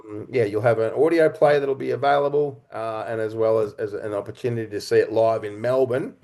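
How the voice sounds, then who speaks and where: A man speaking as an interview guest, earnest and reflective, explaining his creative work in halting, conversational phrases. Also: fairly low pitch, fairly even intonation, vocal fry, a little mellow. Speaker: interview guest, writer-director and actor.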